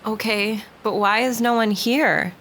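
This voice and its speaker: A woman's voice: fairly high pitch, measured pace, a bit bright, slight background noise. Chatty woman playing d&d. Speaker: player. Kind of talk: casual tabletop chat.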